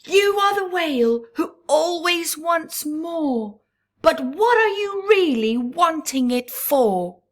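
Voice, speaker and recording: A woman voicing a feisty, smart and kind young female crab who shouts her warnings in a high, urgent voice. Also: very animated, slow, exaggerated voice. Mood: challenging.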